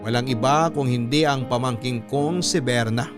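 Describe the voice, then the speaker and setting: A man's voice: medium pitch, moderate pace. A man with a Filipino accent reading a listener's letter as dramatic radio story narration. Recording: guitar music bed behind the narration.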